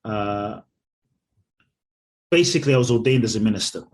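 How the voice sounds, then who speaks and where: A man in his forties, born in Ghana and raised in London, telling his life story as a podcast guest in an earnest, measured way. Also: fairly low pitch. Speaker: guest, spiritual speaker and author. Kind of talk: reflective storytelling in an interview.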